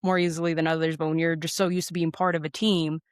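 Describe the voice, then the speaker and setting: Clear-voiced American woman, casual and candid. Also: low-pitched, flat delivery, fast, dry recording, studio quality. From Phoenix, Arizona, United States. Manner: candid, informal, often quotes remembered exchanges. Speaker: interview guest, air traffic controller and former Marine. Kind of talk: casual conversational storytelling.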